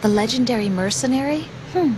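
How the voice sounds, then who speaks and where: A woman's voice: on the high side, animated, brisk, background noise, natural voice. An actress playing a supportive young female mission analyst in a video game cutscene. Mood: concerned, caring.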